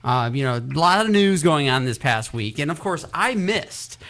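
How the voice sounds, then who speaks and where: A man's voice: on the high side, animated, moderate pace, fairly bright tone. A man hosting a casual talk radio show, chatty and rambling, poking fun at himself. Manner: chatty, rambling, self-deprecating. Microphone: studio mic with headphones.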